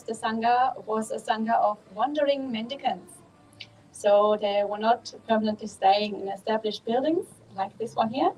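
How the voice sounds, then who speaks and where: A woman from Germany with a German accent, a Buddhist nun giving a calm, measured dharma talk at a monastery, pausing often with ums. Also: on the high side, rough recording.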